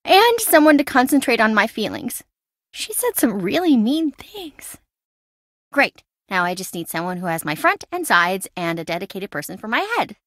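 A woman's voice: on the high side, sing-song, moderate pace, fairly bright tone, no echo, studio quality. An actress voicing a chatty, impulsive young woman in a video game, breezy, wry and self-dramatizing. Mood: breezy, dramatic. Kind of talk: video game voice acting, conversational.

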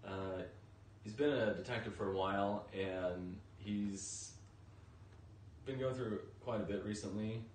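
Male interviewee, thirties, low-pitched, informal chat, distant mic with faint background noise. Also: fairly even intonation, a little slow, a little mellow, reverberant. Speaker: interview guest, novice podcast voice actor. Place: indoors on a sofa in a living room.

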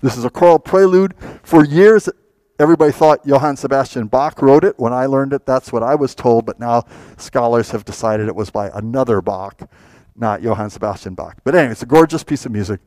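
A man's voice: medium pitch, fairly quick, no echo. An older man, an organist and composer, chatting enthusiastically as he introduces a concert inside a large wooden church, speaking into a clip-on mic. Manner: chatty, enthusiastic, warm asides. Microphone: clip-on lapel mic.

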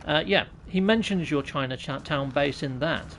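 A man's voice: on the high side, moderate pace, fairly bright tone, a little hiss or hum. A British man in his thirties chatting casually with viewers while live-streaming a game, joking and poking fun at himself, on a USB mic set on his laptop in a small room at home. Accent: British English. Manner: jokey, self-mocking, chats with viewers. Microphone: USB mic on top of a laptop.

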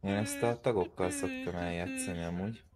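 A man's voice: deep voice, wildly swooping pitch, a crowd in the background. A man chatting casually with other players during an online role-play game. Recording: noisy game voice chat.

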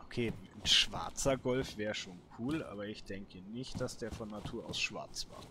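A man's voice: fairly low pitch, measured pace. A man giving casual solo let's play commentary, muttering short remarks to himself. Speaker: gaming video host. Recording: clearly noisy background with clicks.

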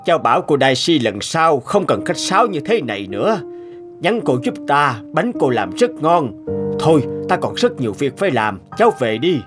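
A man playing a gruff, brusque old scientist in a Vietnamese-style moral cartoon dub. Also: a little high, sing-song, normal speed, over music. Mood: impatient. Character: gruff old male scientist, blunt but ready to apologise. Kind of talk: animated moral tale dubbing.

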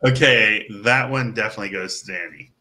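A man's voice: fairly low pitch, fairly quick, very noisy. A man serving as guest judge on a comedic video call, laid-back and chuckling.

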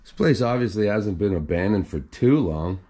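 Guy wandering an empty old house talking to the camera, low easygoing voice. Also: moderate pace. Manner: relaxed, admiring, thinking aloud. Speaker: urban explorer host. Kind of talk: casual walkthrough narration. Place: indoors in an empty abandoned house.

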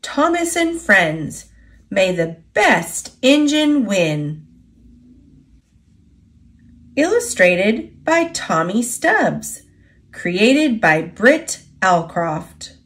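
Slow, lively American woman reading stories. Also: mid-range voice. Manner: expressive, deliberate storytelling for children. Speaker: children's storybook reader. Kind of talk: scripted read-aloud narration.

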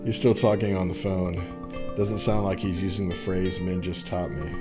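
A man's voice: low voice, steady tone, moderate pace. A young man giving casual, informal let's play commentary and reading game dialogue aloud. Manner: informal, reacts with exclamations. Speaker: gaming YouTuber. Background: game music.

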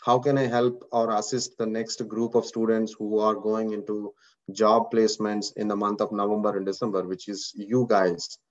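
A man's voice: on the low side, level tone, moderate pace, highs cut off. Earnest Indian man on a video call. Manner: earnest, repeats words, many fillers. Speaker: course instructor. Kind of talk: motivational talk on a video call.

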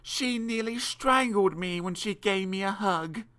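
Man doing a cheeky, high-voiced bratty boy. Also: a little slow, bright, forceful tone. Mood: whining lie.

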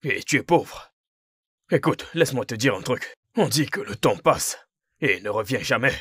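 A man's voice: mid-range voice, animated, normal speed, a bit bright, slightly rough, no echo, clean studio sound. A man in his thirties dubbing a friend who teases and lectures in a film. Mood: mocking. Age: 30s. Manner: teasing, lecturing. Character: mocking, lecturing young male friend. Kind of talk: French film dub.

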